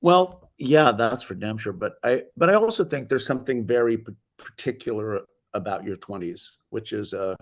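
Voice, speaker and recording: An older American man with a gravelly, fairly low voice, chatting casually as a guest. He wanders through his thoughts and restarts his sentences. He is heard over a video call. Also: expressive, moderate pace. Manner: rambling, restarts sentences often. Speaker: film director guest. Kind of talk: casual interview chat.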